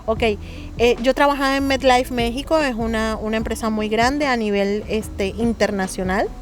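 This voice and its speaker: A woman's voice: fairly high pitch, moderate pace. A middle-aged woman from Colombia with a Colombian Caribbean accent touched by Mexican speech, a guest talking earnestly with many fillers. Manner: earnest, hesitant with frequent fillers. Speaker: interview guest. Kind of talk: casual interview conversation.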